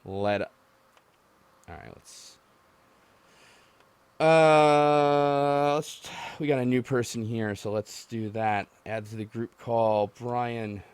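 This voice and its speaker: A man's voice: mid-range voice, fairly even intonation, slow pace, pauses often, smooth, no echo. A man hosting a gaming livestream, chatting casually and rambling with callers in a geeky, self-deprecating way. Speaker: host of a gaming livestream podcast taking callers. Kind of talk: casual chat while playing a game. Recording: game music behind the voice. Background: retro video game music.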